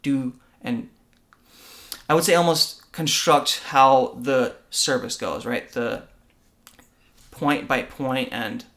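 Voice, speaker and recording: A man's voice: medium pitch, slow pace, long pauses, fairly bright tone. Earnest man explaining church matters. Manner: earnest, explanatory, asks rhetorical "right?". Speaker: podcast co-host. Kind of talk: conversational discussion on faith and church.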